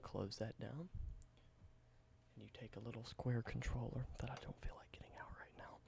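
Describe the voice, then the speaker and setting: A man who makes ASMR videos, doing a whispered roleplay and slipping between a soft whisper and a low, unhurried speaking voice. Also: steady tone, vocal fry. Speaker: ASMR creator. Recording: noticeable background hiss.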